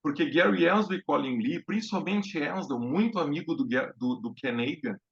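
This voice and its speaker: A man from Brazil hosts a casual live-stream lecture, stopping to restart his sentences and filling pauses as he goes. His voice has a gravelly edge. The sound is dull and lo-fi, like a home stream. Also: a little high, lively intonation. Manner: hesitant, many fillers and restarts. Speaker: host of a live talk on music therapy.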